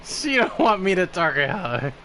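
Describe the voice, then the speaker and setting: A man's voice: sits high, big pitch swings, normal speed, bright, forceful tone. A man streaming a game with casual, blunt commentary and informal muttered asides.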